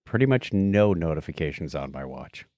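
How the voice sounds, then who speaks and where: Man with lively, swinging intonation. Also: very deep voice, moderate pace, no room sound. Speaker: podcast co-host. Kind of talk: casual chat and anecdotes.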